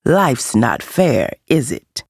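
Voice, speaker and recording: A man's voice: medium pitch, sing-song, takes it slowly, gravelly, no room sound, studio quality, full character voice. A man voicing a scheming, bitter adult lion villain in a storybook, sardonic and menacing with a mock-sweet edge.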